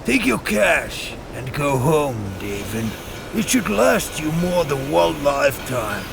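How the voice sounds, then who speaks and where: Gravelly, stern male boss. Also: a little high, big pitch swings, slow pace, background noise, exaggerated voice. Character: stern, fed-up male boss dismissing a troublemaker. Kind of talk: audio drama acting.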